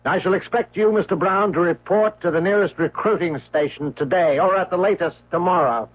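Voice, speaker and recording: Scheming, imperious guardian, muffled radio. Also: male voice, sits high, fairly quick, husky. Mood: cold, menacing. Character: scheming, domineering male guardian, coldly threatening, self-serving. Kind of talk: 1970s radio drama acting. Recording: muffled old radio broadcast.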